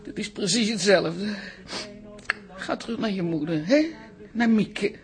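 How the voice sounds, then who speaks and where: A woman's voice: medium pitch, a little slow, close to an everyday voice. An actress playing a kind, fretful older grandmother in a radio drama, stammering and repeating her words hesitantly. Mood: pained, pleading. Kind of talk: Dutch radio drama acting.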